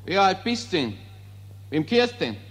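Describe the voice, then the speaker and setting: An actor playing a gruff, contemptuous Viennese officer in an old radio drama. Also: male voice, high voice, sing-song, moderate pace, a bit bright. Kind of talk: mid-century German-language radio drama acting.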